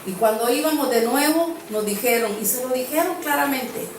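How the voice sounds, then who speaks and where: A woman with a high voice preaches an earnest sermon in a church, driving her points home by repeating phrases. She is picked up from some distance, so her voice rings around a very reverberant hall. A faint hum of room ambience sits underneath. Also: moderate pace. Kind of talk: sermon with personal storytelling. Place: church sanctuary.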